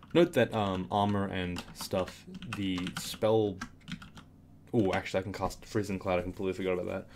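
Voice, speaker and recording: A man with a slightly raspy voice giving casual commentary on a game tutorial, rambling with fillers and restarts, keyboard clicks behind him. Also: sits low, a little mellow, a little hiss or hum.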